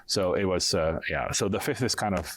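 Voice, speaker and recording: Low voiced guy, orchestra conductor, talking music casually and really enthusiastic. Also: fairly quick, a bit bright. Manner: enthusiastic, effusive. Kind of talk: casual conversation about classical music.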